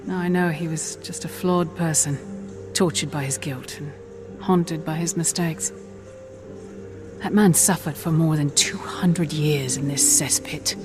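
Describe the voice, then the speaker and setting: A woman voicing a serious, principled commander in a video game, earnest and measured. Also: sits low, fairly even intonation. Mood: somber, compassionate. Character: serious, principled commander hero, firm but compassionate. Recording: game music underneath.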